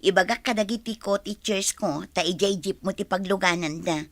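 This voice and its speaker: A woman's voice: mid-range voice, moderate pace, natural voice. A woman acting in a radio drama as a friendly young schoolteacher, effusive and grateful in manner.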